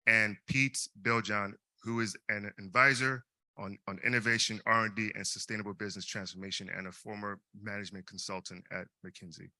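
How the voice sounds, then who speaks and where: Bright, halting young man emceeing. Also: fairly low pitch, fairly even intonation, no echo, poor audio. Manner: hesitant, frequent repeated words. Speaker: student event host. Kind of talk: live event emceeing.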